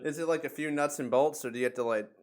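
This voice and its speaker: Guy podcast host, bit higher pitched, sarcastic and sweary, just riffing. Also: fast talker, darkish tone. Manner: sarcastic, profane. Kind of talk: casual banter and commentary.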